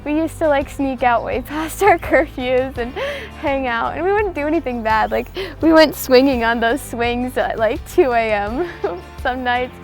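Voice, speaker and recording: A woman's voice: high voice, animated, a little slow. A young woman telling her love story casually, gushing and giggly, with plenty of "like" in her sentences. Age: young adult. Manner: giggly, gushing, liberal use of "like". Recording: music behind the voice. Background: music.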